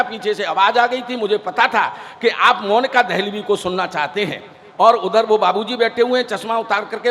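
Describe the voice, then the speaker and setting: A man's voice: high voice, fairly bright tone. A man performing comic poetry-gathering banter as compere, teasing and declaiming to a live audience. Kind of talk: live stage comedy and introductions to an audience. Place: large event stage before an audience. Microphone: stage microphone. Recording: hall reverb from a stage PA.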